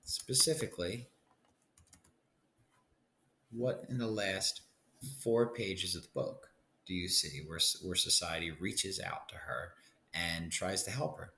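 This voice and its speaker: A man's voice: low-pitched, takes it slowly, long pauses, sharp tone, a little hiss or hum. A man giving an instructional lesson as a teacher, thinking aloud and guiding listeners with frequent check-ins.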